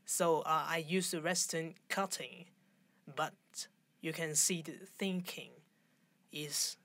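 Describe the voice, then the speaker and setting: Low-pitched Hong Kong woman in interview. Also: steady tone, a bit bright. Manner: halting non-native English phrasing. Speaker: interview guest, fashion designer. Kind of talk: conversational interview.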